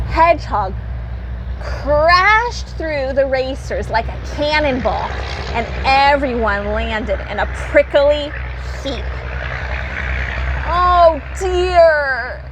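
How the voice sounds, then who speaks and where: A woman narrates a children's storybook. Her voice is high and very animated, with a slow, deliberate delivery. Noise is audible behind her.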